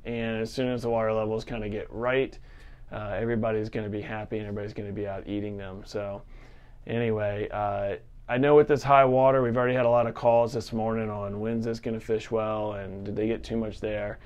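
Casting: man, 30s to 40s, fairly low voice, casual conversational presenter for outdoor-sports reports, unscripted feel. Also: little pitch movement, brisk, darkish tone, clear, resonant tone. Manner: informal, conversational. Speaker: fly shop forecast presenter. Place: fly fishing shop, indoors. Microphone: lavalier clipped at collar.

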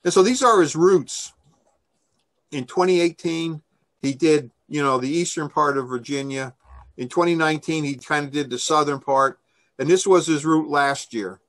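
A man's voice: a little high, moderate pace, a bit bright. An older man giving an informal slide briefing over a video call, conversational and enthusiastic in manner. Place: small room indoors, on a video call. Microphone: video call microphone.

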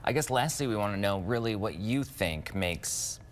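A man in his thirties, a news anchor, asking questions in a TV studio interview with friendly quick interjections. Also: lowish voice, lively intonation, a little hiss or hum. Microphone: lapel.

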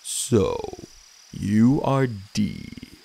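A man voicing an ancient male horned god who speaks softly, slowly and without emotion, in a low rumble. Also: huge pitch swings, creaky, exaggerated voice. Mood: cold. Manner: soft, slow and deliberate.

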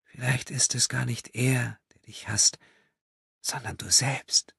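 Man voicing a hushed, soft-hearted young woman. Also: mid-range voice, fairly even intonation, takes it slowly, dry recording, clean studio sound, pitched above the performer's own voice, heavily acted. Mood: gentle, compassionate. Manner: hushed, breathy, hesitant.

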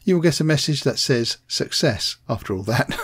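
A man reading a scripted software tutorial voice-over, plain and steady, spelling out commands slowly. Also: mid-range voice, slightly rough. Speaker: tech tutorial narrator.

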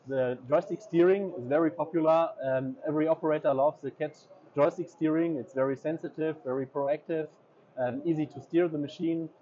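A man's voice: on the high side, warm tone. A man in his thirties guides visitors around a big indoor expo booth, explaining machines with lots of ums. He sounds a little dull and muffled on the camera mic. Faint hall noise hums behind him. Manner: hesitant, many ums and uhs. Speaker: equipment dealer representative. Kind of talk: trade fair booth tour. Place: large indoor exhibition hall.

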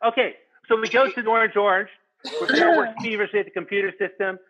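Male stage-direction reader, high pitch, raspy, informal, dull lo-fi sound, faint music. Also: very fast, sharp tone. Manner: informal, with asides. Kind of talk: reading script directions aloud at a live table read.

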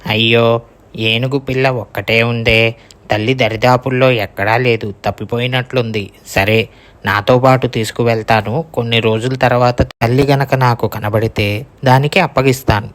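A man's voice: medium pitch, fairly even intonation, normal speed, fairly bright tone, close to an everyday voice. A man voicing a kind, humble poor woodcutter in a cartoon moral story. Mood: concerned.

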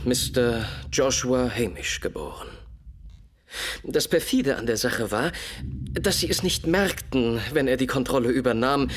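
A man plays a brilliant Victorian detective in a radio play. He sets out his deductions gravely and methodically at a middle pitch, pausing and repeating words for weight. Slight noise sits behind the voice. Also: natural voice. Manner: deliberate, measured, expository, with dramatic repetitions. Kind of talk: German radio play dialogue, explanatory monologue.

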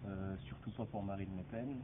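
A young man with a fairly low voice weighs his words carefully outdoors. The audio is narrow and phone-like, with noticeable outdoor noise. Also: steady tone, normal speed. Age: young adult. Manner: thoughtful, searching for words. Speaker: passer-by interviewed in a park. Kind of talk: street interview. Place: outdoors on a grassy green. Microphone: handheld mic held by interviewer. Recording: phone-like sound, street noise.

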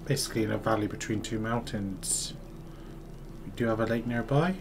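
A man with a lowish voice talks through a game in a casual, unhurried way. His throat is sore, so he sounds a little scratchy. He thinks aloud as he plays, and music runs clearly behind his commentary. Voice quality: slightly scratchy. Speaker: gaming video host.

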